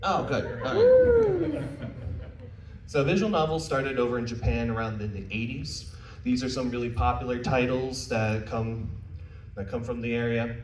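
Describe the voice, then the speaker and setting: A man's voice: mid-range voice, expressive, moderate pace. American male panel presenter, informal and jokey; echoey room recording with faint background noise. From United States. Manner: casual, self-deprecating, jokey asides. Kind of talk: informal presentation to a live audience. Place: indoor convention panel room. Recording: roomy, distant mic, faint noise.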